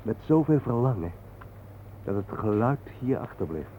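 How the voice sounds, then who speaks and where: An actor playing a calm, cryptic guide who speaks in a measured, enigmatic way in a radio play. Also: male voice, mid-range voice, lightly acted. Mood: patient, cryptic. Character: calm, cryptic male guide named Hein, explaining mysteries. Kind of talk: Dutch radio play acting. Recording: noisy, narrow-band old radio recording.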